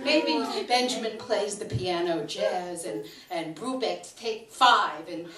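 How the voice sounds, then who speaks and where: Animated older New woman performing comedy. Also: mid-range voice, background noise. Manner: dramatic, exasperated, halting delivery. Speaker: storyteller performing a comic monologue. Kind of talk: theatrical comic monologue read live on stage. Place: small indoor stage before an audience. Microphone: microphone on a stand. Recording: roomy live stage, distant, audience laughing. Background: audience laughter.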